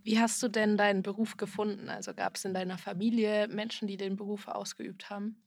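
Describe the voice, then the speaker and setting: A woman co-hosting a podcast, with a gravelly, creaky edge to her mid-pitched voice. She asks friendly, clearly phrased questions. The sound is dry and close. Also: flat delivery, fairly quick, no echo. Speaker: podcast co-host. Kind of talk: hosting an interview.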